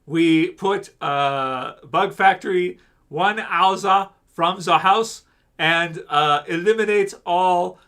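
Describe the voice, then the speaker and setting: A man putting on a smug male official with a bungled mock German accent, gloating in halting broken English. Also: sits high, a bit bright, clean tone, heavily acted.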